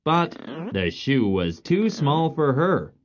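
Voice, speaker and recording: A woman's voice: deep voice, big pitch swings, slow. A woman narrating a scripted children's story as the storyteller. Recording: dull lo-fi audio, some noise.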